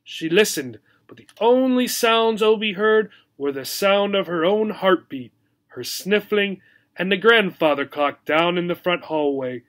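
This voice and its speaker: A man with a notably high voice reads a children's story aloud as a teacher. His telling is expressive and explanatory, pitched for young learners. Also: a little slow. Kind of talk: children's story read-aloud with simple recap.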